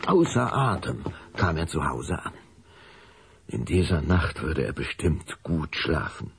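A man's voice: extremely low pitch, big pitch swings, a little slow, creaky, slightly rough. A man narrating a children's audio drama in a dramatic storybook style.

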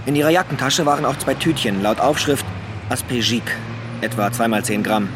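An actor playing a businesslike homicide detective with a dry, matter-of-fact delivery in a crime radio drama. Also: male voice, medium pitch, fairly quick, lightly acted. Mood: hesitant, businesslike. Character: businesslike male homicide detective reporting findings. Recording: faint ambient noise.

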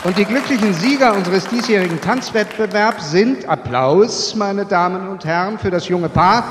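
An actor playing a jovial, pompous master of ceremonies, making grand, formal stage announcements in a radio play. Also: male voice, high voice, moderate pace, noisy background, natural voice. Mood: festive. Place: large club hall with audience. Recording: echoing hall PA with music, crowd.

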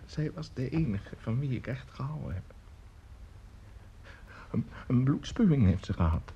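An actor in a radio drama playing a bitter, drunken old widower, rambling and halting as he repeats his words in self-pity. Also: mid-range voice, mellow, lo-fi sound, a little hiss or hum. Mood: grieving. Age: older.

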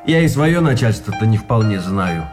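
An actor playing an ironic, worldly, teasing officer in a radio play, with a flippant manner. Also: male voice, on the low side, very animated, moderate pace. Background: guitar music.

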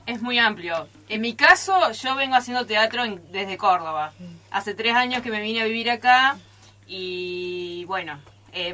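Female guest, Argentine accent, bright clear-cut tone, medium pitch, casual studio interview. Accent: Argentine Spanish. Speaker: drama group member, guest. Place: radio studio.

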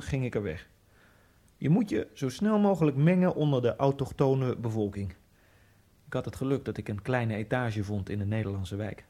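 A man's voice: medium pitch, warm tone. An actor playing an Egyptian immigrant, telling his story in a dreamy, brooding radio drama monologue over music. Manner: brooding, dreamy inner monologue with sudden bursts.

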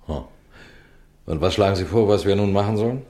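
An actor playing a shrewd, composed senior police detective in a radio crime play, speaking in a deliberate, courteous, instructive way. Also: male voice, sits low, mellow, close to an everyday voice. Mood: probing, musing. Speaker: detective and narrator addressing listeners. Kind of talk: scripted German radio crime drama.

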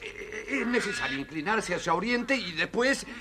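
A man's voice: sits high, lively intonation, normal speed, a crowd in the background. An actor playing an eager, bumbling male vizier in a children's story record. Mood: flustered.

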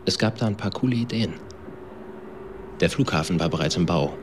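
A man's voice: deep voice, brisk, noisy background, close to an everyday voice. An actor playing a bitter, slangy failed businessman in a radio drama, talking bluntly and colloquially. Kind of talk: naturalistic radio drama testimony.

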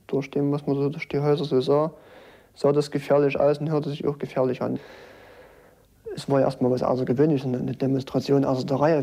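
A man's voice: mid-range voice, gravelly, dark tone, breathy edge. A man recalls what he witnessed, piling up insistent, repeated phrases. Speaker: eyewitness interviewee. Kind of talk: spoken recollection.

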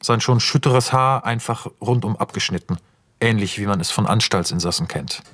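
A man's voice: lowish voice, normal speed, fairly bright tone, dry recording, studio quality. A man narrating scenes and stage directions for a radio play in a precise, matter-of-fact way.

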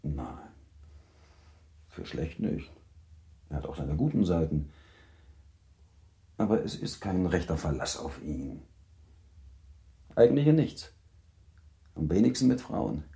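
An actor playing a composed, worldly middle-aged baron and husband in a German radio play, measured and slightly paternal as he advises. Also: sits low, very animated, creaky, dark tone, roomy sound, heavily acted. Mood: measured, cautioning.

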